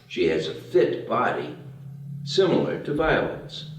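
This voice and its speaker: A man reads out screenplay stage directions at a table read in a measured, matter-of-fact way. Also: on the high side, sing-song, noisy background. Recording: very echoey room, distant mic.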